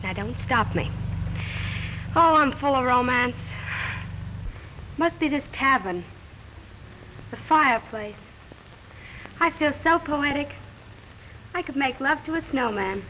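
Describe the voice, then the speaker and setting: Playful young sleuth, high voice, bright, chatty, faint hiss. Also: female voice, lively intonation, takes it slowly, long pauses, heavily acted, low-quality recording. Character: playful young woman detective, romantic bride-to-be. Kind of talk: 1930s film dialogue.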